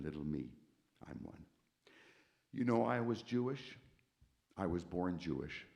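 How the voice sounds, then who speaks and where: Gravelly older man preaching with emphasis. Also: low-pitched. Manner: emphatic preacher, repeats key words, swings from quiet to loud. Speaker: concert pianist giving testimony. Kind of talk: testimony sermon to a congregation. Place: church sanctuary.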